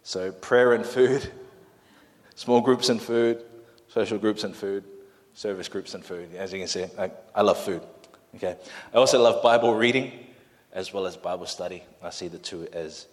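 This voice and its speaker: A man's voice: fairly low pitch, normal speed. A man in his thirties from Samoa, Samoan his first language, giving a friendly, chatty introduction to a congregation as their new pastor, with self-deprecating asides. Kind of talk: sermon introduction. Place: church hall. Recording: long hall reverb in a church.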